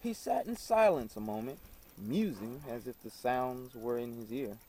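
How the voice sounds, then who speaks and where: A man reciting a literary text as its reader, in a solemn, deliberate and dramatic manner. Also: medium pitch, lively intonation, warm tone, husky.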